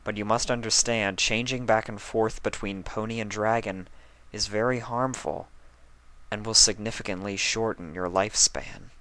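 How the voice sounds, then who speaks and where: A man voicing a stern but caring pony princess of the night who talks softly and gravely. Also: on the low side, fairly even intonation, moderate pace.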